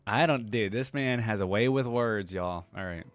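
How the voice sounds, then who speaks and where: Low-voiced man, rambling casually. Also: moderate pace, soft background music. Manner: rambling, musing. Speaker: reaction video host. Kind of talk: casual gaming fan commentary.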